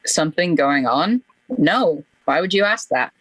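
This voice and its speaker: A woman's voice: sits low, lively intonation, measured pace, a bit bright, no room sound, exaggerated voice, low-quality recording. A young woman playing a casual, guarded friend in an amateur radio play.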